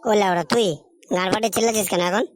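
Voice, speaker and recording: A performer voicing a grumpy, foul-mouthed middle-aged father in a comedy cartoon, gruff, scolding and sarcastic. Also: mid-range voice, fairly even intonation, rapid-fire, no room sound, lightly acted.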